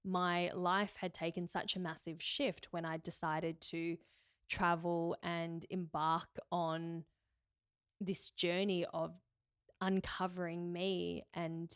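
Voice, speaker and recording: A woman's voice: lowish voice, little pitch movement, slow, smooth, no room sound, studio quality. Candid woman in her thirties. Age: 30s. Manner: candid, thoughtful, with fillers and self-corrections. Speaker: podcast host. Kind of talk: reflective solo podcast talk.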